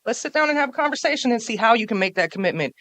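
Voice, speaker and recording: A woman with a low, full voice speaks into a handheld mic on a café stage, pressing her case to an audience. She is emphatic and forceful, piling up one point after another. The sound is close and dry. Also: animated, rapid-fire, no room sound. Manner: forceful, emphatic, builds lists and repeats points. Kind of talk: panel talk. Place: stage of a small music café, live audience.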